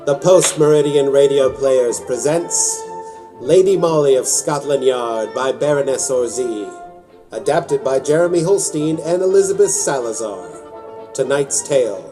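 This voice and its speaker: A man's voice: medium pitch, unhurried, dark tone, low-quality recording. A man announcing a radio drama in a scripted, theatrical introduction. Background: music.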